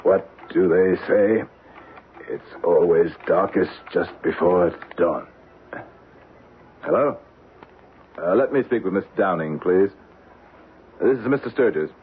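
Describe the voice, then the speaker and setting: A man's voice: low-pitched, slow, pauses often. An actor playing a domineering, ambitious, middle-aged businessman and husband in an old-time radio drama, imperious and emphatic. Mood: impatient, commanding. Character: domineering, ambitious, philandering corporate boss and husband. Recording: tinny, noisy old radio recording.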